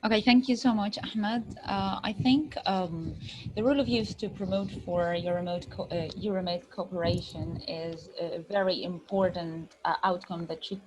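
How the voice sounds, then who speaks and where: Woman on a video call, lower voice, some faint noise, kinda hesitant. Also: fairly even intonation, normal speed. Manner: hesitant, restarts phrases. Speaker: participant. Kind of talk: answering at an online forum. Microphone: computer mic on video call.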